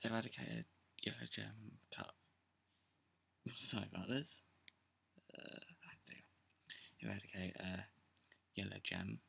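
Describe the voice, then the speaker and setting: A young man with a sore, croaky throat, talking quietly in a half-whisper at home while he goes through his card game deck for a casual video. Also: lowish voice. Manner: hushed, half-whispering late at night. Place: indoors, seated on a bed or floor at home. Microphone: camera close in front, pointed at his hands.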